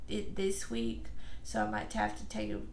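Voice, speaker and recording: Young woman vlogger, rambling casual chat, strained fading voice, heavy vocal fry, roomy echo. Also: mid-range voice, big pitch swings, moderate pace, slight background noise. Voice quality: gravelly. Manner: rambling, self-correcting, many fillers. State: losing her voice. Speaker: author vlogger. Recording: echoey room.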